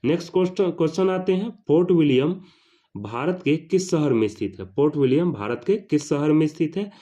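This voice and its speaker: A man's voice: on the high side, animated, fairly quick, dark tone. A man teaching an exam question-and-answer lesson, repeating questions and answers for emphasis. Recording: slightly dull lo-fi sound.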